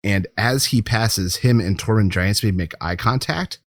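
A man's voice: lowish voice, a little slow, no room sound. A man co-hosting a podcast, chatting casually and enthusiastically about a TV show. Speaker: podcast co-host.

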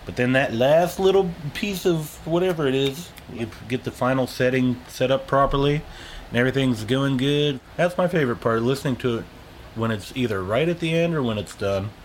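A man with a mid-range voice talks casually in an interview as a sound engineer. His explanations ramble along in everyday language. There is clear noise behind his voice. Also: medium pitch, moderate pace.

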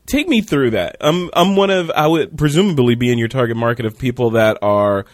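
A man with a medium-pitched voice hosts a casual interview. He asks curious, chatty questions and likes to joke. The recording is dry, with almost no room reflections. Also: animated, a little fast, no room sound. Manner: curious, joking. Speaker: podcast host, interviewer.

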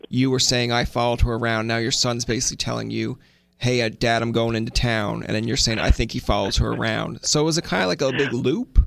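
A man with a Canadian accent and a gravelly voice, interviewing casually as an eager, chatty podcast host. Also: medium pitch, steady tone, fairly quick, a bit bright, dry recording. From East Coast Canada.

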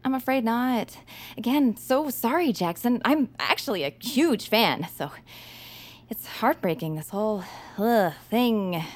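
An actress playing a nervous, apologetic, awkward female novice radio host who stammers and giggles through halting speech in a comedy audio drama. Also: a little high, animated. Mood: nervously smug. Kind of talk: audio fiction comedy acting.

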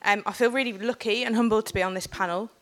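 Woman, bit of a cold, earnest panel talk, mid pitch, steady. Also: fast. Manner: earnest, steady, building to forceful points. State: has a cold. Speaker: panel speaker and organiser. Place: packed meeting room.

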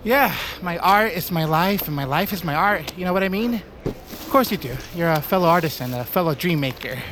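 A self-important, laid-back artist voiced by a man talks with pompous conviction. His voice sits fairly high for a man, in comic audio-drama style, with clear noise around him. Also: lively intonation, a little fast. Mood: earnest, pretentious. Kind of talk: comedic scripted audio drama acting. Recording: clear background noise and ambience.

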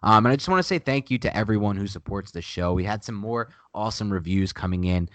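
A man's voice: fairly low pitch, animated, fast, fairly bright tone, no room sound. A man co-hosting a casual sports podcast, with a nasal voice and an emphatic way of repeating his points. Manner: emphatic, repeats himself when pressing a point. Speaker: podcast co-host.

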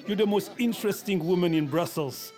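A man's voice: sits high, fairly quick, faint music behind, close to an everyday voice. Effusive admirer played by a man. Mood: adoring. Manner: effusive, flattering. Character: fawning Flemish bohemian male painter, adoring admirer. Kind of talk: comic audio drama, imagined party scene.

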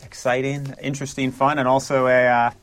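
A man's voice: medium pitch, flat delivery, brisk, fairly bright tone. A man interviewing an author, asking questions in a friendly, structured way.

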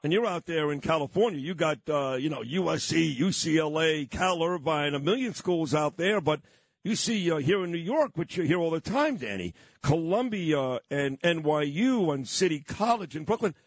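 A man's voice: a little high, lively intonation, normal speed, no echo. Emphatic man hosting a radio show. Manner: emphatic, talks in long run-on lists. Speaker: radio talk host. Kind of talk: radio interview.

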